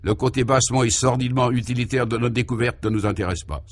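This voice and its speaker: A man's voice: mid-range voice, fast, a little hiss or hum, lightly acted. A man voicing a pompous, exalted inventor who lectures grandiloquently in solemn nonsense jargon for a comic radio serial. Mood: exalted, grandiose. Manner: grandiloquent, emphatic, oratorical.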